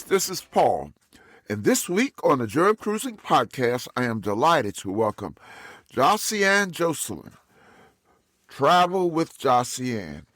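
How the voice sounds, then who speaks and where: Animated New man hosting a podcast. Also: on the high side. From Brooklyn, New York. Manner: enthusiastic, with frequent uh fillers. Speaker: podcast host. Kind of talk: interview podcast over video call. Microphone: video call.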